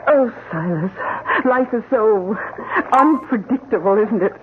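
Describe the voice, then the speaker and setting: An actress playing a trusting, lovestruck widow in an old-time radio drama, speaking in genteel, hesitant phrases. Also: female voice, a little high, animated, measured pace. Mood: blissful. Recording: noisy, muffled vintage radio broadcast.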